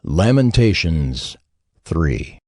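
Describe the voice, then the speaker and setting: A man reading scripture as a narrator in a solemn, measured, dramatized style. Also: deep voice, very animated, darkish tone, husky, no echo, studio quality, heavily acted.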